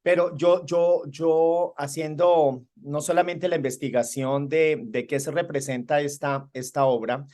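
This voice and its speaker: A man's voice: fairly high pitch, fairly even intonation, measured pace, hardly any pauses, a little mellow. A man hosts the conversation, winding through long questions. He often restarts his words and lifts his voice to stress a point. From Colombia. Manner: searching, repetitive restarts, emphatic rises. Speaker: interview host.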